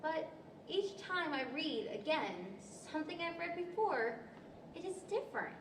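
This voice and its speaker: Casting: woman as earnest young heroine of a staged radio play, high voice, heard from off in a roomy hall. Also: sing-song, normal speed, background noise, exaggerated voice. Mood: grateful, bright. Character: Alice, polite, earnest storybook girl on adventures. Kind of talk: 1940s radio-style stage acting. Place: large library event room, standing microphones. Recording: echoey hall, distant microphone.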